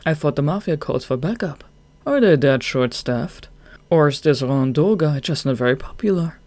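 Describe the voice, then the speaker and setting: A big, burly soldier barking orders in a gruff, clipped way, as a male narrator voices him. The storybook voice sits close and dry. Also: fairly high pitch, animated, normal speed, a little mellow, no room sound, natural voice. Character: burly middle-aged male soldier with a gun.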